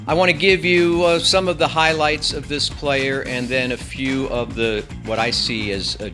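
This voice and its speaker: A man hosting a casual gadget review, chatting to the viewer with self-deprecating asides. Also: medium pitch. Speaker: tech reviewer, host.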